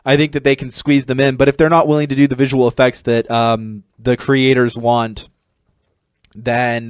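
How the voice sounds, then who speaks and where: A man's voice: mid-range voice, clean tone, no echo, studio quality. A man co-hosting a podcast in a casual, rambling discussion style. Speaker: podcast co-host.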